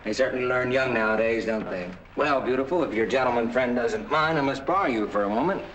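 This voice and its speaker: An actor playing a jovial, teasing young clinic worker in a 1940s film, with a playful manner. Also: male voice, mid-range voice, fast talker, close to an everyday voice. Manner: playful, bantering. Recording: noisy old 1940s film soundtrack.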